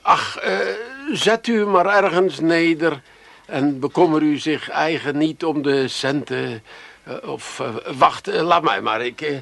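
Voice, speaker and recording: An actor playing a bashful, smitten bicycle attendant in a comic radio play, stammering and trailing off. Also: male voice, a little high, measured pace, vocal fry, a bit bright, dry recording. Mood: smitten, flustered.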